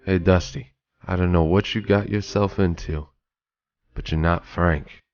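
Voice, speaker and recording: A man's voice: low voice, steady tone, measured pace, a little mellow, muffled recording. A man playing an older retired police detective in a noir audio drama, blunt and paternal, using old-time slang. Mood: wary, stern. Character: gruff, fatherly retired male police detective.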